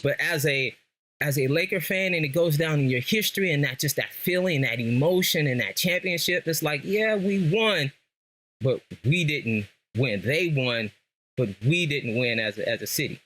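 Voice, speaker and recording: A man's voice: a little high, expressive, normal speed, a bit bright. A man from Los Angeles with an American accent, talking casually as a sports fan guest on a podcast, telling stories in slang and repeating phrases for emphasis. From Los Angeles, Southern California. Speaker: guest, lifelong Lakers fan.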